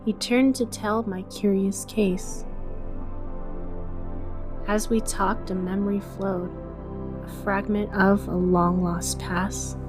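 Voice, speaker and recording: Woman as a slow, solemn, cryptic poetry reciter. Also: mid-range voice, pitched below the performer's own voice, natural voice. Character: somber, cryptic poetry reciter recalling a bitter past.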